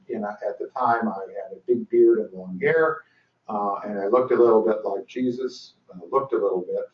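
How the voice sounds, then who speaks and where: An older man lectures at a training session, talking loosely with many fillers and dry asides. He is heard from some distance across a reverberant room. The recording is dull and muffled. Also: medium pitch. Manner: dry humor, frequent fillers and restarts. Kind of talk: conference lecture.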